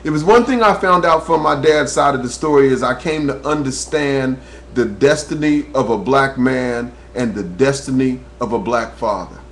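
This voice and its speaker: A man's voice: medium pitch, normal speed, a little hiss or hum. Earnest man on a webcam. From New Jersey, USA. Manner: earnest, preacherly, rising when telling his story. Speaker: talk radio host. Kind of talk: webcam monologue. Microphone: webcam microphone.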